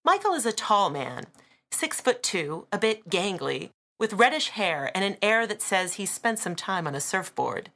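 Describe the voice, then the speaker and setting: A woman narrating an audiobook in a dramatic, deliberate style with weighted pauses and emphasis. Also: mid-range voice, big pitch swings, a bit bright.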